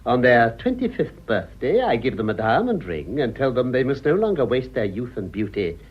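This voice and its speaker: Husky, animated, wry British gentleman. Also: medium pitch, normal speed. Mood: amused. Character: urbane, wry, cynical older English gentleman, amused. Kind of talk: radio drama acting of a stage comedy. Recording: dull lo-fi radio drama recording.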